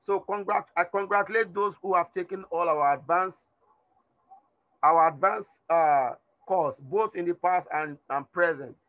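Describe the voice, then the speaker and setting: A man with a hoarse, tired voice teaching a live online trading class, repeating himself and urging students to respond. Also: high-pitched, a little slow, fairly bright tone, lo-fi sound. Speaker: trading instructor. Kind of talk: live online class over a chart screen.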